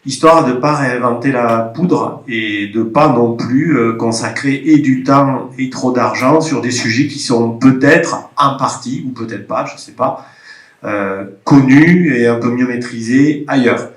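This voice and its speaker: A man's voice: medium pitch, a little slow. Brief: man with a head cold, thoughtful and hedging, in a reverberant hall. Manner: reflective, hedging. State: has a cold. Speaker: participant from a regional agriculture office. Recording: roomy conference hall sound.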